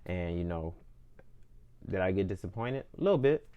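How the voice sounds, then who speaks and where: A man's voice: deep voice, animated, moderate pace, clean tone, lo-fi sound. A man in his twenties talking earnestly about his music and goals in a reflective personal monologue, often repeating and restarting phrases as he thinks aloud. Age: 20s. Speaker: independent musician.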